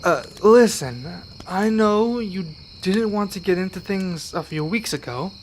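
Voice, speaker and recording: An earnest young male werewolf played by a man speaks in a slightly high voice at a slow, careful pace. He is sincere and a touch hesitant, as in an intimate ASMR fantasy roleplay. Faint cricket and insect ambience sits behind him. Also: animated, a little hiss or hum. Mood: hesitant, curious.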